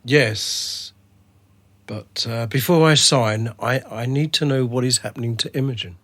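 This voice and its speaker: An ordinary, wary fellow, played by a man in a radio drama. His medium-pitched voice is understated and questioning, captured close and dry. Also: measured pace, fairly bright tone, no room sound, natural voice. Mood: hesitant, wary. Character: wary, reasonable ordinary man, a released captive.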